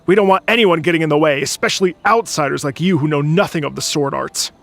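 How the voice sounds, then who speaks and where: A man voicing a proud, honorable senior swordsman in a video game, with formal, gruff delivery. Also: a little high, quick pace, noisy background. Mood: haughty. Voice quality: gravelly. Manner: formal, declarative. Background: music.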